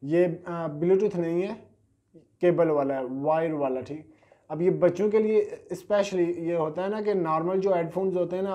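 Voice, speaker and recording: Brief: thirties man selling gadgets, chatty and hesitant, slightly high, mellow tone, lapel mic. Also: lo-fi sound. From Pakistan. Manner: chatty, hesitant, repeats fillers and sales catchphrases. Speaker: online gadget seller. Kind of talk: casual product unboxing. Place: small indoor studio at a table.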